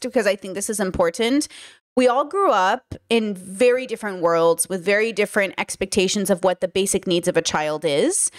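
Young female commentator, conversational and musing, mid-pitched, close dry studio mic. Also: no room sound. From Southern California. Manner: chatty, thinks aloud, gestures a lot. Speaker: commentary YouTuber. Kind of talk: casual reaction commentary. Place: small bedroom studio. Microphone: studio mic on boom arm.

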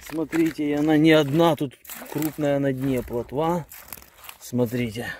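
A man's voice: on the high side, fairly even intonation, a little slow, darkish tone, slight background noise. A middle-aged man vlogging his fishing trip outdoors by a river, talking casually and muttering to himself. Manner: chatty, stumbling, mutters to himself. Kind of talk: casual vlog narration. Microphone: phone held at arm's length.

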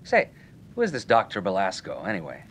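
A man's voice: lowish voice, huge pitch swings, fairly quick, creaky, a bit bright, slight background noise, exaggerated voice. A man playing a breezy, slangy nightclub owner in an old-time radio detective drama, with a wry, colloquial way of talking. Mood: wry, casual.

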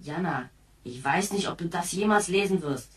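An actress playing a frustrated, rebellious, foul-mouthed youngster in a science fiction radio play. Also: female voice, lowish voice, expressive, normal speed, recorded from a distance, natural voice. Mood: worried. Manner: indignant, snapping.

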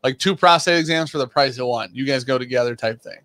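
A man's voice: mid-range voice, fast, a bit bright. A man co-hosting a live stream, enthusiastic and casual, saying "dude" often. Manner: enthusiastic, says "dude" a lot. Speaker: guest co-host.

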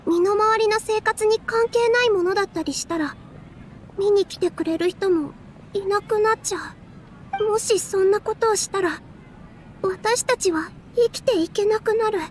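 女性が演じる、ゲームの若い踊り子。柔らかく丁寧で誠実な話し方の優しい娘。. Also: female voice, very high-pitched, moderate pace, a little hiss or hum. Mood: earnest, troubled. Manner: soft, patient, sincere. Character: gentle, earnest young female dancer, encouraging friend.

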